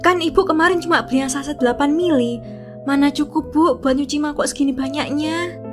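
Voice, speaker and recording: An overworked kitchen hand, as a woman puts her on, high-pitched and meek toward the boss, muttering complaints under her breath. A cartoon drama with faint music behind. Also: a little fast, close to an everyday voice. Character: timid underpaid kitchen worker.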